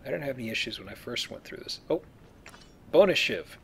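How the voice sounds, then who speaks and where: A man plays a game and explains it in an informal, conversational way. His voice sits at a middling pitch, with a little game ambience and noise behind it. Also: very animated, fairly quick, vocal fry, fairly bright tone. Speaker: let's player playing and explaining the game. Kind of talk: casual gameplay commentary.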